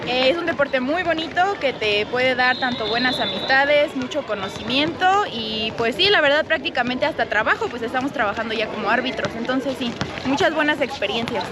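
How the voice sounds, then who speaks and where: High-voiced woman in her twenties. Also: fairly quick, few pauses, a loud crowd. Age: 20s. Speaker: basketball referee. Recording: loud outdoor street noise.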